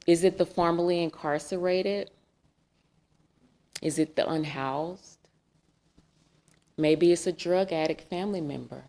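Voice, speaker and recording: Female preacher, deep and unhurried, a bit of vocal fry, reflective and questioning. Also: a little mellow. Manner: earnest, reflective, with rhetorical questions. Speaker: guest speaker. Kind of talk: sermon. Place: church sanctuary.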